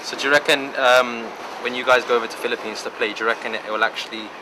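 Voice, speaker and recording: A man conducts interviews, asking short, relaxed questions with a few ums. His voice sits in a middle range. Traffic noise from the street is clearly audible behind him. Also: mid-range voice, fast talker. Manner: casual, brief questions with fillers.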